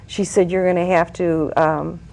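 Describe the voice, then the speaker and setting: A woman's voice: fairly low pitch, little pitch movement, moderate pace, vocal fry, darkish tone. Chatty woman telling a story. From Italy. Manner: chatty, storytelling with frequent fillers. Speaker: guest. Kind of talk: casual talk show conversation.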